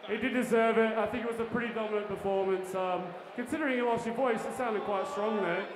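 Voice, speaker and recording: A man's voice: high voice, fairly even intonation, quick pace, background noise. A man reporting from among the crowd at a boxing night, interviewing a spectator on a handheld mic. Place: crowded ballroom floor. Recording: echoey room, loud crowd.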